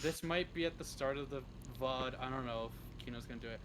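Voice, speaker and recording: A man's voice: mid-range voice, fairly quick. A man speaking as a guest in a casual interview, with a laid-back, joking manner. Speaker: guest, hobby script writer. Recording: faint background noise on stream.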